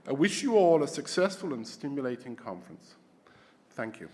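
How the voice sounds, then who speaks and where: A Scottish man giving a formal opening welcome as conference host, with halting restarts and repeated words. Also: mid-range voice, very animated, heavy background noise. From Scotland. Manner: hesitant, repeats words while searching. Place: large conference hall. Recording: long hall reverb.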